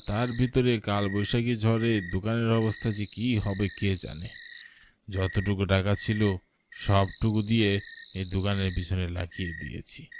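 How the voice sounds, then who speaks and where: A man delivers scripted first-person narration for a cartoon story. His voice is fairly low, with a dramatic storyteller's delivery. There is clear noise in the background.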